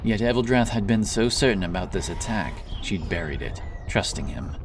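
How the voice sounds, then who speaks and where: Deep-voiced, solemn male fantasy narrator. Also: expressive, normal speed, background noise. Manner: solemn storytelling. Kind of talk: dramatic scripted fantasy narration. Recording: music under the narration.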